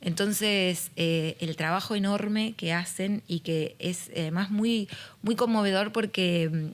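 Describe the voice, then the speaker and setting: A woman's voice: medium pitch, unhurried. A woman hosting a podcast conversation, earnest and full of fillers and restarts. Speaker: podcast host. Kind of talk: conversational interview.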